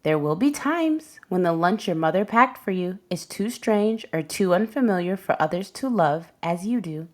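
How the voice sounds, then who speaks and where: A woman reading a children's picture book aloud as a storyteller, with gentle, deliberate phrasing for young listeners. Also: on the low side, lively intonation. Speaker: read-aloud host and storyteller.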